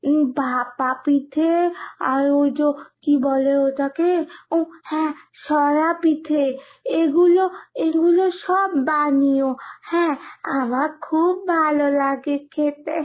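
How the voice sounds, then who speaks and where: A sweet, obedient little village girl in a cartoon moral tale. The voice is very high and unhurried, with eager childlike phrasing that halts and stretches. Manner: childlike, halting, drawn-out phrasing. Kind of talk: dramatised cartoon moral story dialogue.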